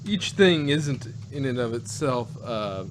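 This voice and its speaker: A man in a casual review discussion, speaking in a halting, rambling way as a co-host. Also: medium pitch, expressive. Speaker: podcast co-host reviewing audio dramas. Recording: clearly noisy, humming background.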